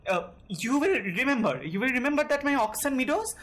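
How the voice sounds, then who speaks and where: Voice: high voice, sing-song, fairly quick, fairly bright tone. A young student actor with an Indian accent playing a nervous, fussy landowner suitor in an amateur audio drama, stammering and formal. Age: young adult.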